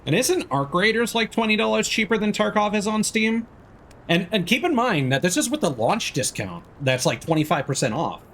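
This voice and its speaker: A man's voice: high voice, expressive, moderate pace, a bit bright, slight background noise. A man chatting casually and thinking aloud as he commentates a video game on a live stream.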